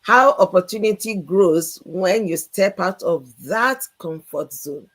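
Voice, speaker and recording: A woman's voice: lowish voice, expressive, slow pace. A woman, co-hosting a live business chat and introducing panelists in an enthusiastic, promotional way. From Nigeria. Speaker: co-host.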